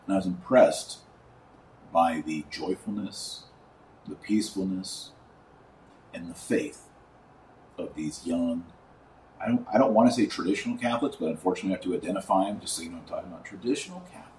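A middle-aged man giving informal religious commentary as a podcast host, speaking emphatically with pauses and drawn-out key words. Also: low voice, a little mellow. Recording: roomy echo, distant mic, faint noise.

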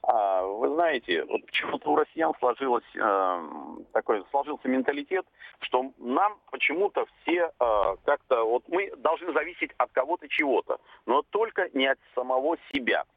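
Man nearly sixty, radio caller, halting speech, lively pitch, dry tinny phone line. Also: gravelly, no room sound. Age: 50s. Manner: hesitant, many filler sounds. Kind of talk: call-in radio comment.

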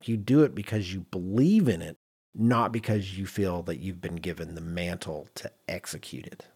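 A man's voice: low-pitched, moderate pace, darkish tone, slightly rough. A man hosting a podcast in a conversational, emphatic, self-deprecating way, moving between chat and read-out notes. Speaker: podcast host.

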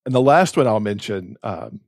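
A man's voice: lowish voice, animated, normal speed, dry recording, clean studio sound. A man chatting casually and effusively about books as a podcast co-host, with emphatic delivery. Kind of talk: casual enthusiastic book chat.

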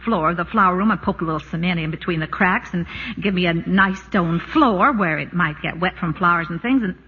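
An actress playing a gracious, flustered suburban wife who justifies herself innocently, in 1940s radio comedy style. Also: female voice, fairly low pitch, a little fast, slightly rough. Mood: innocently defensive. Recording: muffled, echoey, noisy old radio broadcast.

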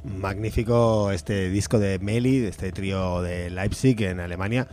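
Spanish man doing a rambling bumbling old pope, very deep voice, halting, trails off mid-word. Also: steady tone, a little mellow, faint music behind. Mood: joking. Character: a bumbling late pope, male, older, jokey. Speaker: podcast co-host. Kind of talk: comedic improvised chat in character.

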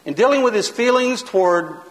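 A man teaching in a loud, emphatic sermon style, his voice building into a preaching cadence. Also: sits high, expressive, fairly quick. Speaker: pastor teaching a seminar. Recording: long hall reverb.

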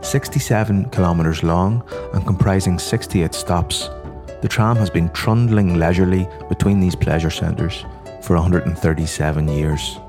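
An Irish man hosting a podcast, reading scripted narration in a measured, informative way. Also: low-pitched. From Ireland. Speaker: podcast host. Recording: music playing behind the voice. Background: guitar music.

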